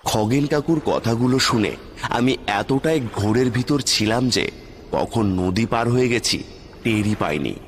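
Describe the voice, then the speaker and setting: A man's voice: medium pitch, lively intonation, a little slow, a little hiss or hum. Guy narrating a ghost story, dramatic scripted read, mid voice, big hall reverb.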